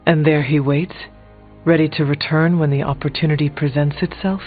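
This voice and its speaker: An actor playing a brooding, dry-witted warrior ally in a video game, speaking formally and deliberately. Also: male voice, on the high side, level tone, close to an everyday voice. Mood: sombre, questioning. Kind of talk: video game character dialogue. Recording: dull band-limited game audio, music behind.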